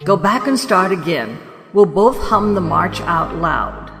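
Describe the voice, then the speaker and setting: A woman's voice: fairly low pitch, expressive, unhurried. A woman playing a fussy, deferential, officious wedding officiant in an audio drama. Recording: reverberant hall with faint music.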